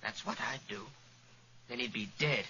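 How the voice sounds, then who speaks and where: A childlike, gentle-witted young man in an old radio mystery. He speaks earnestly and haltingly in a bright, forceful tone, over a muffled, slightly noisy recording. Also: mid-range voice. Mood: childish menace. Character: childlike, simple-minded young man devoted to his dog. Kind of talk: 1940s radio acting.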